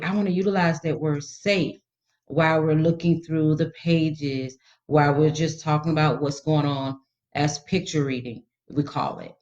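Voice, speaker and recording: A woman's voice: low-pitched, darkish tone. Woman educator on slightly dull, lo-fi audio. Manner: instructive, reassuring, colloquial phrasing. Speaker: guest storytime educator. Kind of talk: informal explanatory tips for parents.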